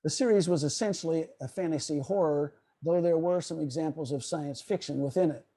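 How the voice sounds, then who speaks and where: A man giving a prepared, explanatory lecture in a measured way on a video call. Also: on the high side, warm tone. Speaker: presenter.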